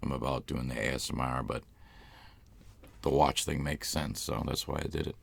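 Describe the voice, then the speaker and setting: A man's voice: extremely low pitch, moderate pace, vocal fry. A man with a Boston accent, hosting a live stream in loose, rambling casual chat. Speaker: ASMR channel host.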